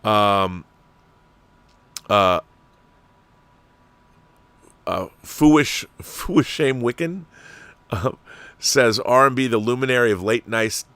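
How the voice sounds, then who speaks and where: A man's voice: medium pitch, slow pace, pauses often, a bit bright, smooth, no echo. A man with an American accent hosting a livestream, talking casually and discursively, giving opinions and addressing viewers. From Washington state, United States. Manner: conversational and discursive, with rhetorical questions. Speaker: livestream host. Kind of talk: casual opinionated commentary, reading and answering viewer messages.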